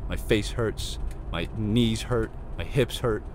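A man's voice: lowish voice, slow. Twenty-year-old man with a crackly, worn voice. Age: 20s. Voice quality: crackly, losing his voice. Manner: rambling, irritated, self-deprecating, swears freely. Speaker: podcast host. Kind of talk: casual solo podcast monologue. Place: inside a parked car. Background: faint car and street noise.

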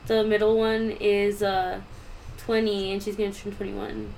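A woman's voice: medium pitch, flat delivery, measured pace, creaky, darkish tone, a little hiss or hum, rough recording. A nineteen-year-old woman co-hosting a podcast, speaking casually and hesitantly, often trailing off. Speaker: podcast co-host. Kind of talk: casual chat.

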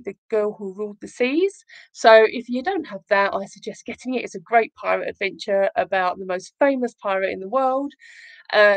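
A woman's voice: medium pitch, dry recording, low-quality recording. Chatty woman hosting a livestream. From Essex, England. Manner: rambling, self-deprecating, effusive. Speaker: live show host, children's author.

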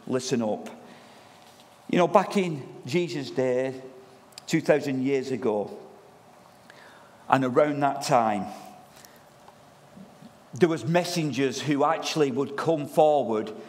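Loud preacher in a reverberant church. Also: male voice, mid-range voice, takes it slowly, pauses often. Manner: loud, lively, chatty preaching. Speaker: pastor. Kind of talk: sermon. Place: church hall. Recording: long reverb of a church hall.

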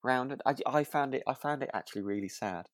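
A man co-hosting a podcast in relaxed chat, hesitant and correcting himself as he thinks aloud. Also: medium pitch, quick pace, dry recording, studio quality. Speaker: podcast co-host. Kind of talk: relaxed chat reviewing a radio drama.

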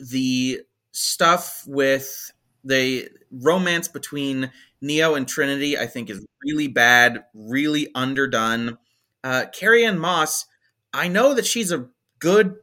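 Man, twenties, podcast co-host, rambling, filler-heavy, sharp bright tone. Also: mid-range voice, animated, takes it slowly. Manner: rambling, hesitant, many fillers. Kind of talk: casual movie review chat.

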